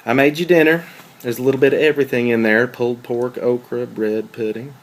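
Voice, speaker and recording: A man's voice: medium pitch, steady tone, fairly quick, natural voice. A man playing a jealous, hurt boyfriend in a dramatic table read, questioning in a pointed, sardonic way. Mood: attentive, casual. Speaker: actor in a script table read.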